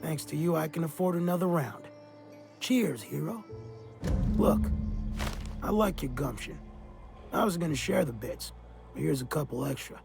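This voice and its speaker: A gravelly old mercenary drifter for a video game. The voice sits a little high and is heavy with fry, with sly, folksy, slangy talk and music running underneath. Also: male voice, expressive, normal speed, pauses often. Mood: sly, cynical. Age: older. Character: gruff, sly, boozy old mercenary drifter with a guilty streak. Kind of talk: video game character dialogue.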